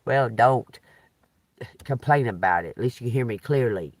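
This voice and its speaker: A man hosting a live stream, chatting loudly and laughing often while telling profane stories. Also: mid-range voice, moderate pace, dry recording. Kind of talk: rambling casual live stream chat.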